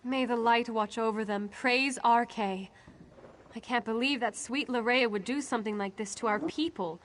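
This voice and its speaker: A woman's voice: fairly high pitch, normal speed, a bit bright, close to an everyday voice. An actress playing a devout, earnest woman who grieves for her people in a fantasy video game. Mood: sorrowful, pious. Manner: pious, earnest. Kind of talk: scripted video game dialogue.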